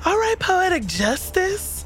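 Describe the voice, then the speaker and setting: A woman playing an excitable, sassy Earth woman in an audio drama, gushing and exclamatory. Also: very high voice, takes it slowly, soft background music, full character voice. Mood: elated, sassy.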